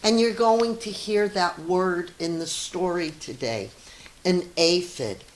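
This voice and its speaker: A woman's voice: fairly low pitch, slow, rough recording. A middle-aged or older woman teacher reading a storybook to children, explaining things simply and addressing young listeners directly.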